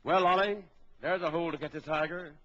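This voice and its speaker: Man acting a high-voiced, commanding big-game trapper. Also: fairly even intonation, over music, natural voice. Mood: confident, instructive. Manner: brisk, instructive, giving orders. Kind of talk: old children's record radio acting. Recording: dull old record with background crowd noise.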